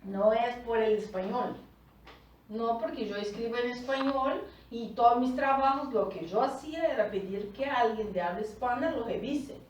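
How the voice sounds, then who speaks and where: A woman's voice: on the high side, a little mellow, a little hiss or hum. A woman coach, a native Portuguese speaker, teaching a group in Spanish and often checking in with her listeners. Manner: explains slowly, often checking in with "¿tá?". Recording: echoey room, far from the mic.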